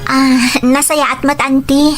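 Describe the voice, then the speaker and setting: A woman's voice: sits high, level tone, measured pace, soft background music. A woman playing a naive, devoted young student from the provinces in a scripted audio drama. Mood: polite, grateful. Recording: noticeable background noise, ambience.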